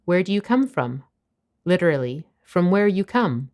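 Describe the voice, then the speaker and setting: A woman narrating a scripted language lesson, explaining phrases clearly and at a measured pace. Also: lowish voice, big pitch swings, a little mellow. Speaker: language teacher.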